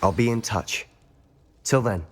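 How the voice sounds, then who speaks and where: An actor playing an eager, curious, loyal teenage schoolboy wizard with a British accent in a video game. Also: male voice, on the low side, a little slow. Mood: earnest.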